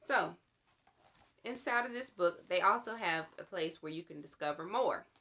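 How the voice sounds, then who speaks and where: A woman with a slightly low voice reads aloud for a children's storytime. She narrates the book and explains it to young listeners. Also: animated, normal speed, pushed and bright. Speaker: storytime reader.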